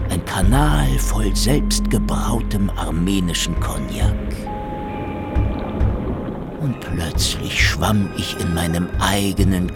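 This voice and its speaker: Hard-drinking Soviet investigator, slow deadpan wisecracks, swooping pitch, music underneath, radio crime drama. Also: male voice, exaggerated voice. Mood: delirious, brooding. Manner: deadpan, wisecracking, drawn-out delivery.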